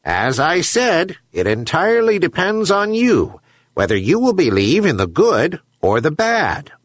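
Man voicing a high-pitched, wise seagull. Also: wildly swooping pitch, a little slow, dry recording, clean studio sound, exaggerated voice. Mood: admonishing. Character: wise, chiding herring gull, moral counselor.

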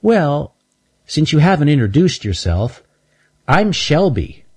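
A man voicing a sweet, kind little girl squirrel who speaks gently and kindly. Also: a little high, sing-song, slow pace, breathy edge, pitched above the performer's own voice, exaggerated voice. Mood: gentle, curious. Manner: gentle, friendly.

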